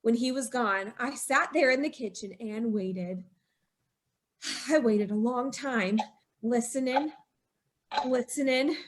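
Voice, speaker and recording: An actress plays a distraught wife in an old-time radio horror drama. Her voice is high and she speaks slowly. She sounds secretive and haunted, repeating words for dramatic weight. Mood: hushed dread. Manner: dramatic, emphatic repetition, haunted confessional telling.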